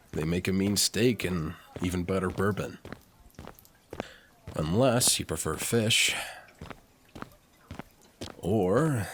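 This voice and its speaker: Guy doing a smooth flirty anime army officer, deep and slow, teasing, intimate roleplay audio. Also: slight background noise, heavily acted. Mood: flirtatious, playful. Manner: smooth, unhurried, playfully teasing. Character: suave, teasing, flirtatious military officer and alchemist.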